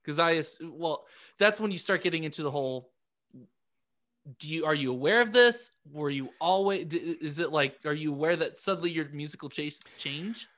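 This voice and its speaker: Male podcast co-host, slightly high register, hesitant, conversational, feels his way toward a point. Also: moderate pace, a bit bright. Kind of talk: casual group banter.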